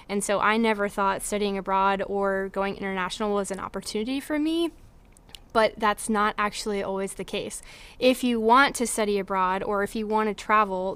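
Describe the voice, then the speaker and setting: A woman's voice: mid-range voice, a bit bright, smooth. A young woman advising students on study abroad, speaking earnestly with frequent filler words. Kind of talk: informational presentation.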